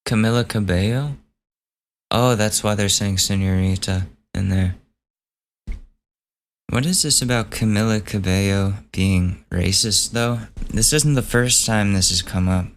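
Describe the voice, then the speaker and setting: Hoarse, gravelly man hosting a podcast. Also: on the low side, unhurried, a little hiss or hum. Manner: offhand, swears, thinks aloud while reading gossip items. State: losing his voice, hoarse. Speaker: podcast host. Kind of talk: casual solo podcast commentary.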